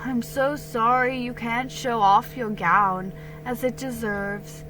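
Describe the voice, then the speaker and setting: A woman voicing a gentle, kindly colonial father, earnest and fond, in a dramatic storybook reading. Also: fairly high pitch, fairly even intonation, slow, music in the background, low-quality recording. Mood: regretful.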